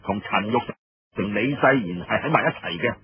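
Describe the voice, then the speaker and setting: A man's voice: on the low side, moderate pace, slightly rough, pitched below the performer's own voice. A man voicing a nervous, deferential surrendered officer in an audiobook story, reporting to a commander with hesitant, obsequious care. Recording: muffled lo-fi roomy radio-like recording.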